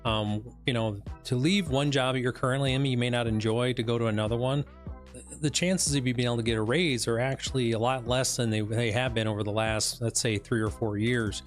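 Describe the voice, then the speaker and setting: A man from the United States, a business coach, talking through a wage report live in a hoarse voice that he is losing, rambling with fillers and restarts. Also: mid-range voice, fairly even intonation, fairly quick, soft background music. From West Michigan, United States.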